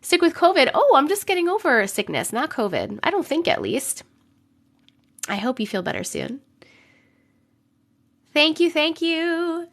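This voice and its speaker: A young adult woman live streaming, chatting with viewers and reading stories, her voice a little rough from a cold. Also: high voice, big pitch swings, unhurried, long pauses, fairly bright tone. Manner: chatty, greets viewers by name between sentences. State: voice a bit rough from getting over a cold. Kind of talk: casual live stream chat and story reading.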